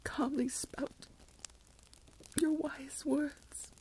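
A woman's voice: sits high, steady tone, extremely slow, dark tone, slight background noise, poor audio. A woman playing a fretful, melodramatic older Regency mother in a whispered ASMR roleplay, self-pitying and full of dramatic sighs, close to the mic. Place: indoors, lying in bed.

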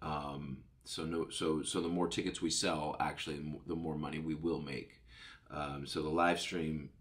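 Deep-voiced man, slightly stuffed-up podcast host. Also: flat delivery, normal speed. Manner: rambling, upbeat, self-correcting. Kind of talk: casual solo podcast chat. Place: home studio room. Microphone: studio mic on boom arm, headphones.

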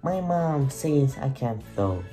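A man's voice: mid-range voice, animated, slow, over music. A man voicing an eager young child in a game, lifting his voice a little. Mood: wistful.

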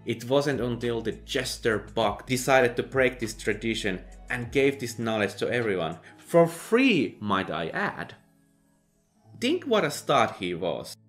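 A man narrating a sardonic book review, dry and mocking with sudden loud outbursts. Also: medium pitch. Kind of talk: sardonic video essay narration.

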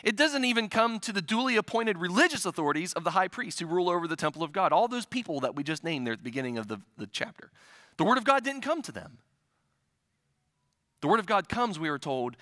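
Pastor, male, 40s, from the Midwest: bright, fairly high voice, dry close sound, impassioned sermon delivery. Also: very animated, brisk, dry recording. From American Midwest. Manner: impassioned preaching, swelling louder and dropping to soft asides. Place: church sanctuary stage at a pulpit.

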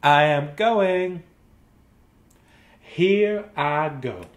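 A cheerful, determined little female pig from a children's storybook, voiced by a man. The lines come slowly and deliberately, in a high register. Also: expressive. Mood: cheerfully resolute.

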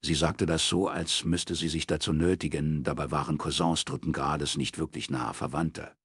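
A man's voice: extremely low pitch, steady tone, moderate pace, a bit bright, husky, no room sound, clean studio sound. A man reading scripted literary narration as an audiobook narrator.

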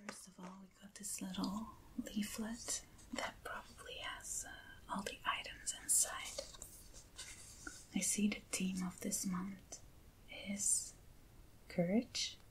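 A woman speaking in a hushed, breathy whisper in a gentle ASMR style, close to the microphone. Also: mid-range voice, wildly swooping pitch, takes it slowly, long pauses, rough recording. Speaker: ASMR video host. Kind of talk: soft ASMR unboxing talk. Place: small indoor set at a table. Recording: clear background hiss.

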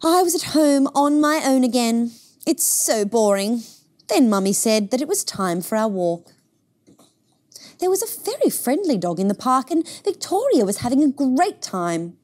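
A woman's voice: high voice, big pitch swings, moderate pace, darkish tone, pitched above the performer's own voice. A woman voicing a timid, polite, lonely young boy in a picture book, wistful and earnest. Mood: bored, lonely. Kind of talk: picture book read-aloud.

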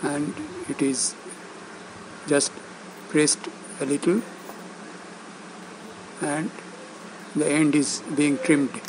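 A man narrating instructions step by step, speaking in short, halting phrases with pauses between them. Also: a little high, steady tone, noisy background. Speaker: instructor demonstrating loudspeaker assembly.

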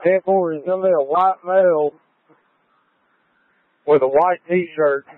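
A man's voice: a little high, unhurried, no room sound. A male state trooper giving clipped, coded reports over a police radio during a pursuit. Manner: clipped procedural phrasing in radio codes. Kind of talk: terse police radio traffic. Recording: tinny narrowband police scanner radio.

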